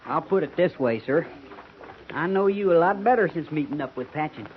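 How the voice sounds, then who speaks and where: An actor playing an eager, admiring young cavalry trooper with an earnest, rustic way of talking, in 1950s radio drama style. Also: male voice, fairly high pitch, lively intonation, brisk, close to an everyday voice. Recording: muffled 1950s radio broadcast, faint hiss.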